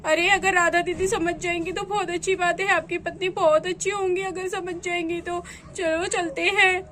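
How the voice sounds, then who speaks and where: A woman voicing a meek, apologetic young woman who speaks softly and slowly. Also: extremely high pitch, little pitch movement, a little hiss or hum. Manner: soft, slow, apologetic.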